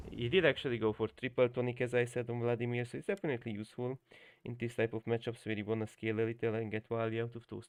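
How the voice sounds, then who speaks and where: A man's voice: mid-range voice, slight background noise. A young man whose first language is Hungarian, coaching on a live stream in loose, rambling talk full of fillers and restarts. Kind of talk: casual live-stream commentary.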